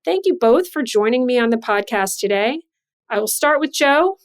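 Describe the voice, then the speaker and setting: A woman's voice: fairly high pitch, animated, normal speed. A woman hosting a podcast interview, introducing guests and putting questions to them. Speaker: podcast host and journal editor.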